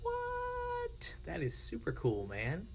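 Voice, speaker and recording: An American man streaming casually, chatting informally and reacting to viewers in a creaky, gravelly voice. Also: sits high, huge pitch swings, slow pace, a little hiss or hum. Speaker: live streamer. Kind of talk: casual livestream chat with viewers.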